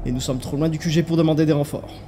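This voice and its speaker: A man voicing an earnest, practical crewmate in a dramatic animated film dub, urgent and tense. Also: fairly high pitch, racing, a little hiss or hum, lightly acted. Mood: practical, grim.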